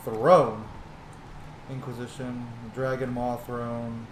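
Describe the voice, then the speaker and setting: Casting: male gamer commentator, mid-pitched, informal and sweary, explaining as he plays, roomy sound. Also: level tone, slow pace, slight background noise. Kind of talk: casual game commentary.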